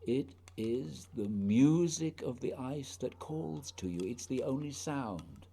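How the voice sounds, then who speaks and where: An actor playing an earnest, haunted polar explorer in a radio play, speaking lyrically and deliberately in a husky voice. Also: male voice, mid-range voice, lively intonation, mellow, full character voice. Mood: rapt, lyrical. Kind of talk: poetic radio drama acting.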